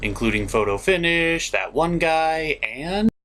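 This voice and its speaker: A man's voice: a little high, expressive, unhurried, fairly bright tone, slight background noise. A man hosting a comedic cartoon review, partly scripted and partly ad-libbed, with a sarcastic, theatrical delivery. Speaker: host of a cartoon review show.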